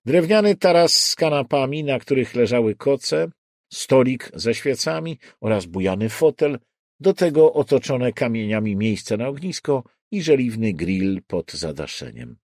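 A man narrates a crime novel. His mid-pitched voice moves through a lively range of intonation, and the recording is dry and close. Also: measured pace, no echo. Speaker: audiobook narrator.

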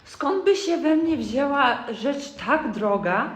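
A woman's voice: sits high, lively intonation, takes it slowly. A young girl playing a humble, curious little toad in an amateur radio play. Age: child or young teen. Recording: echoey room.

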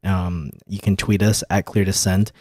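A man's voice: deep voice, little pitch movement, measured pace, a little mellow, dry recording, clean studio sound. A man hosting a podcast, chatting casually and explaining things about tech. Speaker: podcast host.

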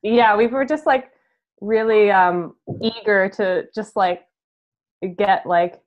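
A young Canadian woman chatting casually as an interviewed musician, halting and peppering her talk with "like", on a video call. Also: medium pitch, fairly even intonation. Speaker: band musician being interviewed. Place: room at home. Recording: dull, lo-fi video call audio.

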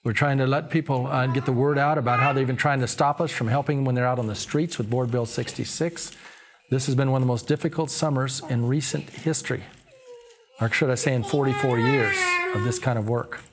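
A man's voice: mid-range voice, fairly quick, background noise. A man in his sixties speaking as a pastor and TV host, making an earnest, preacherly appeal in an interview. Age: 60s. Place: small TV studio living-room set. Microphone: lapel.

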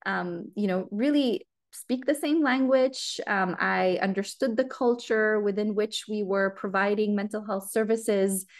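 A woman's voice: mid-range voice, a little slow. A Lebanese American woman in her thirties answering seminar questions over a video call, earnest, with many ums and restarts. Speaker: guest speaker. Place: home room.

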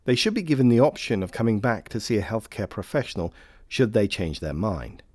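A man reading scripted instructional narration for a health training film in a formal, measured way. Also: fairly low pitch, big pitch swings, darkish tone, no echo.

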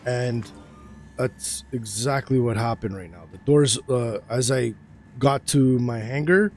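A man casually chatting while playing a space game, easily excited and talkative. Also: medium pitch, unhurried, a little hiss or hum. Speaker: gaming channel host. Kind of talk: casual gameplay chat.

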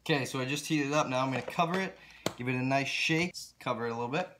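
A man's voice: medium pitch, quick pace, background noise. A young man talking casually and enthusiastically to his viewers as a vlogger, recorded in a home kitchen on a camera at about arm's length. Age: young adult. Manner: enthusiastic, earnest. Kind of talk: casual vlog talk to viewers.

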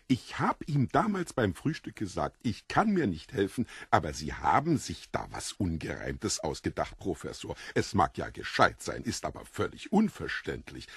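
Deep, husky, mockingly polite devilish stranger. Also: male voice, dry recording, clean studio sound, exaggerated voice. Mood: amused, emphatic. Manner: theatrical, courteous, relishing morbid details. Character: mysterious foreign stranger, the Devil in disguise, mockingly polite, gleeful. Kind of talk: dramatized audiobook dialogue.

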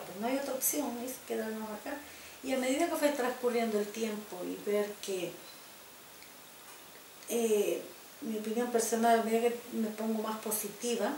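An older woman from El Salvador speaks with a Salvadoran Spanish accent and a fairly high voice. She tells her story slowly and reflectively, stumbling over repeated starts. The room sounds roomy, with a faint hiss from a home video camera. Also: darkish tone. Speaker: interviewee. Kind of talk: personal life-story interview. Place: living room, indoors.

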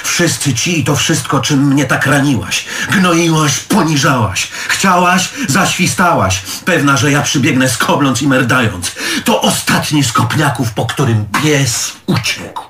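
An actor playing a jealous, self-pitying man reproaching his lover in halting, literary phrases, in theatrical stage style. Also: a little high, pushed and bright, a little hiss or hum. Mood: bitter reproach. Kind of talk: theatrical stage drama acting.

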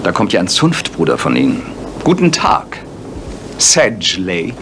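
An actor playing a precise, commanding, sardonic gentleman detective in a German radio play. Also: low voice, wildly swooping pitch, measured pace, background noise, heavily acted. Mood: sardonic, indignant.

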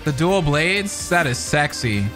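Bright, animated young male streamer. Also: fairly high pitch, slow. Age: young adult. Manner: hyped, exclamatory, slangy. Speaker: reaction streamer. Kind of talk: excited live reaction commentary. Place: indoor room, streaming setup. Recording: game trailer music faintly behind.

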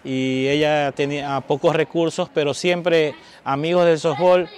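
A man in his thirties, a softball coach, giving a matter-of-fact account outdoors, filmed close on a phone. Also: a little high, steady tone, measured pace, clear, resonant tone. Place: outdoors in a street.

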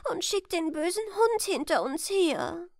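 A woman's voice: very high-pitched, animated, measured pace, a little mellow, no echo, clean studio sound, voice pushed up, heavily acted. A woman voicing a tiny, innocent little girl from a fairy tale, childlike and plaintive. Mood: frightened.

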